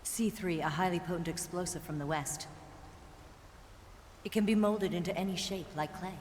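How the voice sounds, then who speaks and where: Woman acting a sultry, low-voiced female spy. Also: moderate pace, darkish tone, slight background noise, close to an everyday voice. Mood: confident, briefing. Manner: flirtatious, cool. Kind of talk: dramatic video game cutscene acting. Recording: long reverb, music behind the voice. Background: game soundtrack music.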